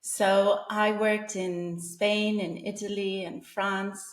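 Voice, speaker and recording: A woman's voice: medium pitch, flat delivery, slow, roomy sound. A woman in her forties from England, talking casually as an interview guest, with many fillers and restarts. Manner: chatty, frequent fillers and restarts, laughs often.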